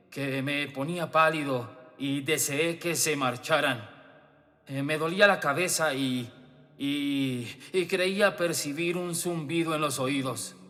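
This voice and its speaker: An actor playing a tormented, paranoid murderer in an agitated, halting dramatic radio horror monologue. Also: male voice, on the high side, sharp tone. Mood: uneasy composure. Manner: agitated, halting, building to frantic outbursts. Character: tormented, paranoid male murderer confessing his crime. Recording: long hall reverb, music underneath. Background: music.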